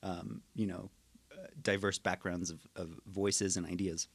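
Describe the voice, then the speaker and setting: Thoughtful low-voiced man, dry sound. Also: flat delivery, unhurried, no room sound. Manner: thoughtful and hesitant, many ums and restarts. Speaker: guest. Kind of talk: casual conversation.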